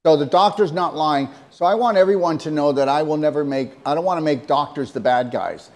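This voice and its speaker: A man's voice: fairly high pitch, fairly quick, a little mellow. A man co-hosting a casual talk show, speaking emphatically and rambling, often repeating himself. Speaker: co-host.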